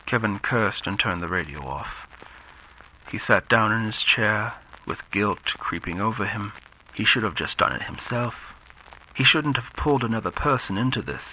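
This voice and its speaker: A man narrating a radio-style thriller from a script, dramatic and deliberate, with pauses for effect. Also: on the low side, bright, forceful tone. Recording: slightly dull, faint background noise.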